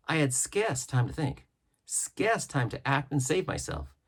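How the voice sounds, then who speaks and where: Expressive male storyteller with a husky voice. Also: mid-range voice. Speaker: audiobook reader. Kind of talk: expressive read-aloud of a classic adventure novel.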